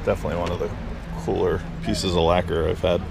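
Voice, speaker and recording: A man's voice: low voice, flat delivery, quick pace, gravelly, noisy background. A man vlogging with a chest camera while browsing a covered outdoor flea market, chatting casually and friendly with sellers. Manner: easygoing, friendly small talk with sellers. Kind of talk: casual chat while shopping. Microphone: chest-mounted action camera. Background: crowd chatter.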